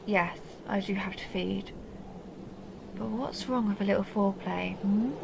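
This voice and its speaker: Woman performing a vampire countess, creaky low-edged purr, possessive, mocking, intimate, audio roleplay, noisy background. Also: fairly even intonation, measured pace. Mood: seductive, taunting. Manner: teasing, mocking, intimate purring address. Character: seductive, possessive vampire countess, teasing and condescending. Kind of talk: dramatic roleplay audio monologue.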